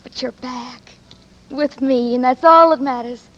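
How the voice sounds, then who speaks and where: A woman's voice: high-pitched, a little slow. An actress playing an anxious, doting former nurse in a 1940s radio drama, cooing endearments to her man. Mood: distraught. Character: possessive tender woman, Ruth, ex-nurse. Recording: dull old radio recording with faint hiss.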